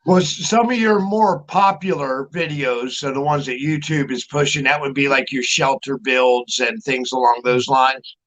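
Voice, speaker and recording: A man hosting a casual live show, talking informally and haltingly. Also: mid-range voice, steady tone, a bit bright. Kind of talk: casual livestream interview. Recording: dull, muffled livestream audio.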